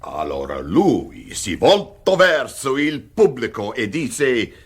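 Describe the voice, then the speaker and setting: An actor playing an effusive, courtly Italian dinner guest in a radio comedy, speaking accented, halting English. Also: male voice, medium pitch, big pitch swings, breathy edge, heavily acted. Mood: amused, animated. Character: exuberant, talkative Italian man, a sociable dinner guest. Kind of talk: radio comedy drama acting.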